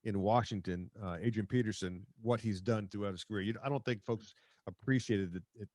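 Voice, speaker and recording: A man's voice: on the low side, a little fast, a little mellow, no echo, studio quality. An American man, middle-aged, hosting a casual sports podcast chat.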